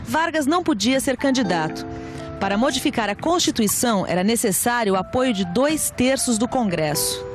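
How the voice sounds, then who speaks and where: High-voiced Brazilian woman narrating history over music. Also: animated, normal speed. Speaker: documentary narrator.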